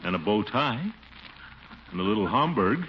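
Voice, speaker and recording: A man plays a genial, blustery middle-aged uncle from a 1940s radio comedy. His voice sits low but swoops widely as he pompously indulges the family. The old recording is muffled. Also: expressive, normal speed, very noisy, natural voice. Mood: genial, teasing.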